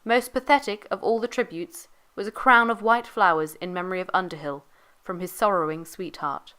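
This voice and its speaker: A woman's voice: medium pitch, moderate pace, sharp tone, smooth. A woman narrating, reading an old news report from a script.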